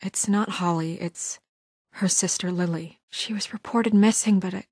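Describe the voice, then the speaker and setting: A woman acting in a video game as a composed, weary and stern administrator who speaks in a crisp, businesslike, quietly troubled way. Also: medium pitch, steady tone, normal speed, no echo, clean studio sound. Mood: shaken, subdued.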